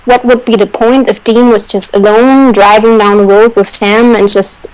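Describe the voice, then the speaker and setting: A woman's voice: medium pitch, fairly even intonation, normal speed, a little mellow, smooth, no room sound, low-quality recording. A woman talking casually and emphatically as a panelist in a fan discussion. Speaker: radio show panelist.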